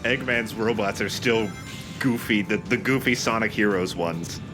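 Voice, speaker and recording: A man's voice: fairly low pitch, a little slow. A man giving casual game commentary with a wry, mock-dramatic sense of humour. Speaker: let's play commentator. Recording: game music behind the voice. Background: loud video game music.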